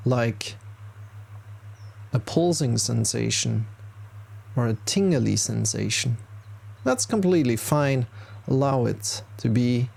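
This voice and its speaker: A man leading a guided meditation as a teacher, speaking calmly in short, unhurried instructions with pauses between them. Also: medium pitch, gravelly. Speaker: yoga nidra meditation teacher. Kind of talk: guided meditation, body scan.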